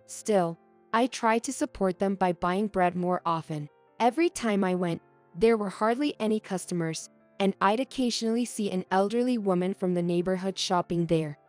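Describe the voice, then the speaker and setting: A woman narrating a heartfelt story in a calm, even way. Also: mid-range voice.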